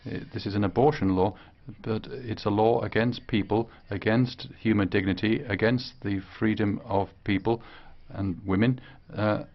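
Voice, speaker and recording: A man interpreting a speech live, speaking in short, halting phrases. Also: fairly low pitch, fairly even intonation, dull audio. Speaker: simultaneous interpreter.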